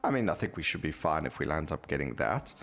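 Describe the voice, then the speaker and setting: A man with a low voice gives casual gameplay commentary over faint music. He hosts in a rambling way, thinking out loud as he plays. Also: sing-song, fast, creaky. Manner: rambling, thinking aloud while playing. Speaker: gameplay video host.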